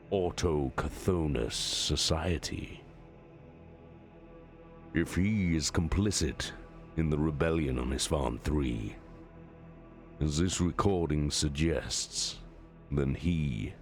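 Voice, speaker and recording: A man voicing a regal, calculating male warmaster who gives a measured, authoritative battle briefing. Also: very deep voice, exaggerated voice.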